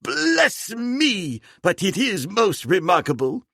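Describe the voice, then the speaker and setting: A man doing an excitable fussy old scholar. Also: high voice, slow pace, creaky, dry recording, clean studio sound, voice pushed up, exaggerated voice. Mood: amazed. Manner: exclaiming, prim, old-fashioned. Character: excitable older male scholar, fussy and wondering. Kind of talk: audiobook character voice.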